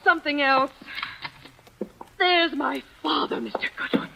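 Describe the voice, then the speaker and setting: A woman's voice: high-pitched, unhurried, gravelly, noisy background. An actress playing a distraught young woman bent on revenge in a 1940s radio drama, tense and bitter.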